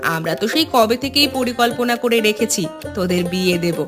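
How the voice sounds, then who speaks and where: A woman's voice: on the high side, expressive, moderate pace, close to an everyday voice. A woman voicing a knowing, middle-aged village mother in a cartoon, chiding and teasing with bossy assurance. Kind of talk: cartoon voice acting. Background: background music.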